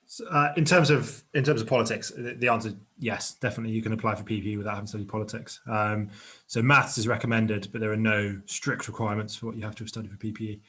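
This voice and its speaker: A man's voice: on the low side, flat delivery, quick pace, a bit bright, slightly rough. A man answering questions informally as a webinar panellist, explaining in a tentative, hedging way. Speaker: webinar panellist, admissions adviser. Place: online webinar.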